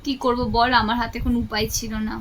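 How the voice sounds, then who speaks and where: A woman's voice: on the high side, little pitch movement, fast talker. A young adult woman, chatting casually and insistently on the phone as a vlogger. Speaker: vlogger making a prank call. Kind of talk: casual phone chat with a friend. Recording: faint background noise.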